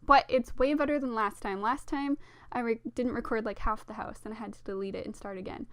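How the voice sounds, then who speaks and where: A young woman with a sore throat, slightly hoarse, casually narrating a game build in a rambling, self-deprecating way with lots of fillers. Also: fairly high pitch, fairly even intonation, a little fast, no echo, clean studio sound. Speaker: Sims speed-build narrator. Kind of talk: casual voice-over commentary.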